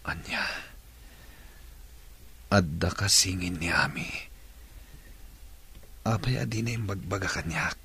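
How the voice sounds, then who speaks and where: An actor playing an earnest young male patient in a radio drama, with a husky voice and an Ilocano Filipino accent. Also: low-pitched, slow, pauses often, pushed and bright, a little hiss or hum, exaggerated voice. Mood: puzzled, groggy. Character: injured young male surfer patient, earnest and low-key.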